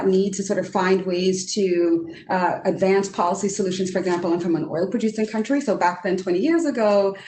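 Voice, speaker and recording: A woman's voice: mid-range voice, a little mellow. A Trinidadian woman in her forties, an economist speaking earnestly on a video roundtable, with many fillers and restarts. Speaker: economist panelist. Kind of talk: roundtable discussion. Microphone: video call. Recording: roomy video call audio.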